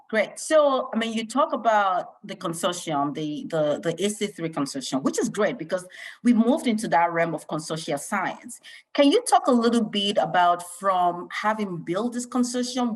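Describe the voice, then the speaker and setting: A middle-aged woman opens and hosts an interview segment. She speaks with emphatic enthusiasm, and her voice sits on the lower side. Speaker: host. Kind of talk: hosting an interview.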